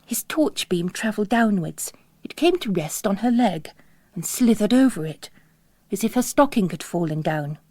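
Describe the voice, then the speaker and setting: A woman's voice: mid-range voice, animated, moderate pace, warm tone, no room sound. A woman narrating literary fiction in a dramatic, scripted storytelling style.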